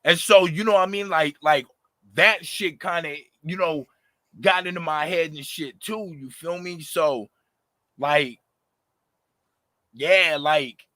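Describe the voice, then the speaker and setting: Raspy man in his thirties, animated and passionate, bright edge, dry close sound. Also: on the high side, takes it slowly, long pauses, no echo. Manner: animated, passionate, slangy, lots of fillers. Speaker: rapper, interview guest. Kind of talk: casual interview talk.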